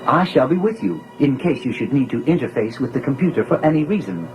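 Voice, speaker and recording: An actor voicing a prim, deferential protocol droid with a British accent and formal, over-polite diction in an audio drama. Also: male voice, mid-range voice, lively intonation, fast, music in the background, natural voice, rough recording. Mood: earnest, formal.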